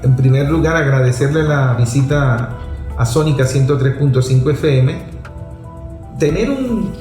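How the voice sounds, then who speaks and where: A man's voice: mid-range voice, warm tone, reverberant. A man with a Venezuelan accent hosting a radio talk show, speaking emphatically and teasing his guest. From Venezuela, Zulia. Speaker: radio host. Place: radio studio.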